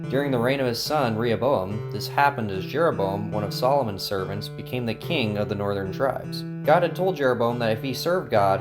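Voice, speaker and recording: A man narrating a Bible story from a script as the narrator of an audio drama. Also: lowish voice. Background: music with plucked guitar.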